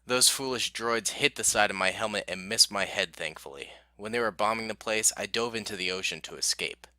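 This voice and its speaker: A man's voice: lowish voice, brisk, bright, forceful tone, no echo. A man voicing a battered but loyal male clone captain giving a formal soldier's report. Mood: dutiful.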